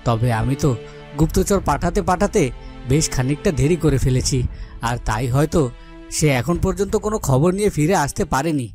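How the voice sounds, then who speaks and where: A man's voice: fairly high pitch, animated, fairly quick, close to an everyday voice. A man playing a worried, brooding king in a fairy-tale cartoon, with dramatic, self-questioning delivery. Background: music.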